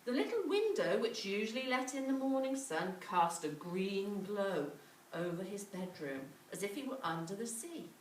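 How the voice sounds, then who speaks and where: A woman's voice: mid-range voice, big pitch swings, normal speed. A woman telling a children's story interactively and dramatically, recorded at a distance in a roomy space with faint noise. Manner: dramatic, with repetition and questions to listeners.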